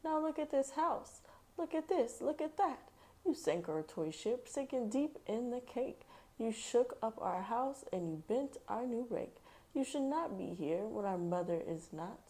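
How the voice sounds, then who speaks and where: A woman plays an indignant little pet fish in a storybook. He scolds and protests emphatically in a raised voice that jumps about in pitch, fussy to the last. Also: animated, unhurried, darkish tone. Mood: angry, scolding. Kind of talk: animated children's picture book reading.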